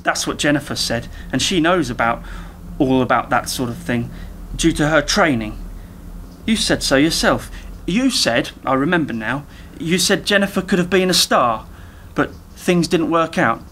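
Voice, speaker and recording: An actor playing an eager, naive younger techie in a radio play, rambling and over-explaining earnestly. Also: male voice, on the high side, animated, moderate pace, a bit bright, a little hiss or hum. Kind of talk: community radio play dialogue.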